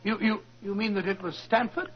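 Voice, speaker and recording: An actor's high-pitched, blustering English doctor. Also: male voice, steady tone, a little fast, soft background music, close to an everyday voice. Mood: baffled, indignant. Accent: British. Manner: blustering, stammering. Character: bluff, baffled older English male doctor, loyal, easily indignant. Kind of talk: 1940s radio drama acting. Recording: dull 1940s radio recording.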